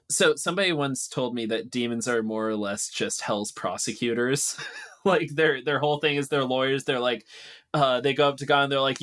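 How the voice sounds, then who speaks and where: Guy with an average-pitched voice, kinda thinking out loud, casual brainstorm chat.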